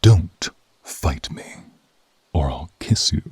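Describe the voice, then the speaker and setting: A man playing a dominant, playfully possessive hunter in an ASMR roleplay, with a deep, melodic voice and a slow, commanding murmur. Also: animated, breathy edge, no echo, full character voice.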